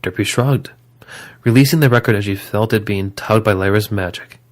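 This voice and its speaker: A man's voice: lowish voice, moderate pace. A man reading scripted story narration as the narrator of an audio drama.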